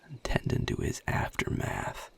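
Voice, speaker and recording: A man playing a weary, protective vampire sire in an intimate audio roleplay, with a Southern US accent, a husky, gravelly low voice and a folksy, guarded drawl. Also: a little slow, fairly bright tone, in a whisper, lightly acted. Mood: weary, resolute. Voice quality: very deep, gravelly, husky and breathy. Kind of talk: audio roleplay drama, intimate one-sided dialogue.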